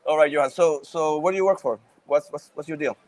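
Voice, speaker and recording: A man with a Puerto Rican accent co-hosting a podcast at a live event, playful and quick to joke and laugh. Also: on the high side, a little mellow. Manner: playful, joking, laughs often. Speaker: podcast co-host. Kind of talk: casual chat at a live event.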